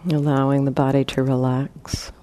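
A woman leading a guided meditation as a teacher, speaking gently in short, spaced phrases with long pauses. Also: very deep voice, level tone.

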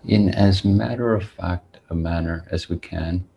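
Very slow, deep-voiced man guiding meditation. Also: vocal fry, warm tone, highs cut off. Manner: deliberate, measured, with long pauses. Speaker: meditation teacher. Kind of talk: guided meditation talk.

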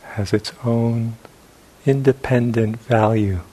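Very slow-speaking man guiding meditation. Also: medium pitch, fairly even intonation, mellow, rough recording. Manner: sparse short phrases with long pauses. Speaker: meditation teacher. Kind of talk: guided meditation. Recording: faint hiss and background noise.

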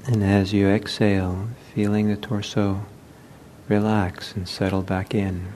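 A man leading a guided meditation as a teacher, speaking gently and unhurriedly with halting pauses. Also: flat delivery. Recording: low rumbling background noise.

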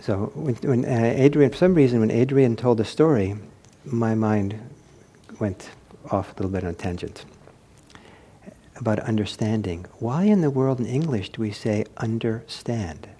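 A man giving an informal meditation talk, reflective and hesitant as he searches for words, with a soft, husky voice. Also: mid-range voice, moderate pace. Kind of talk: informal spoken teaching with anecdotes.

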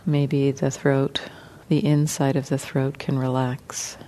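Slow, unhurried woman guiding meditation. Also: extremely low pitch, level tone, a little hiss or hum. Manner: gentle, unhurried, with long pauses between short phrases. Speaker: meditation teacher. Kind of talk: guided meditation.

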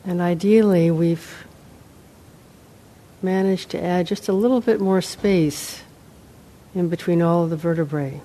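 A woman meditation teacher leading a guided meditation, unhurried, in short phrases with long pauses. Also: on the low side, mellow. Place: meditation center, live talk.

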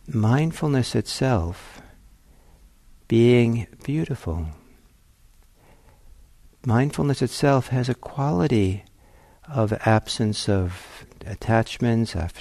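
A man teaching meditation in a gentle, reflective way, pausing often as he searches for phrasing. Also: mid-range voice, creaky, dry recording. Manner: gentle, hesitant, reflective phrasing with long pauses. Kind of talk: guided meditation talk.

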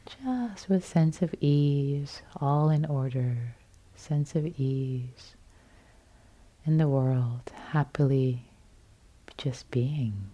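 A woman from Iran, Farsi her first language, leads a meditation in a slow, soft voice that often drops to a whisper. Her voice sits low and sounds deeply mellow and dark. The delivery is calm and unhurried throughout. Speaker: meditation teacher. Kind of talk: guided loving-kindness meditation.

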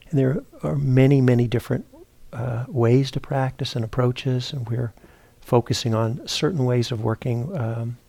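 A man leads a guided meditation at a very slow pace. His voice is mellow and relaxed, with some gravelly fry. He talks reflectively and haltingly, often pausing to find the next phrase. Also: mid-range voice, no room sound. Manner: halting, reflective, searching for words with repeats and pauses. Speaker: meditation teacher. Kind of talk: guided meditation talk, unscripted. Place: meditation retreat center, indoors.

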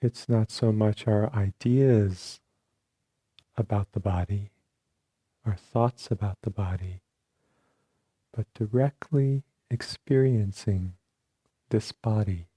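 Slow, mellow man guiding meditation. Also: lowish voice, breathy edge, no room sound. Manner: calm, unhurried, with long pauses between short phrases. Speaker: meditation teacher. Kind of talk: guided meditation instruction.

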